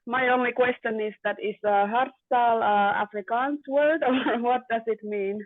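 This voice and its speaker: A woman calls in to ask a question. She speaks hesitantly and stumbles over her words, in a somewhat high voice. The line is thin and tinny like a phone call, and dry. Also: steady tone, normal speed, no room sound. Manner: hesitant, stammering. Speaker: caller.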